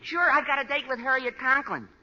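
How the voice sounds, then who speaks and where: A man acting a gawky, eager, oblivious teenage high school boy with a gee-whiz manner in an old radio comedy. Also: brisk, pushed and bright, full character voice. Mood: eager, breezy. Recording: noisy, dull old radio recording.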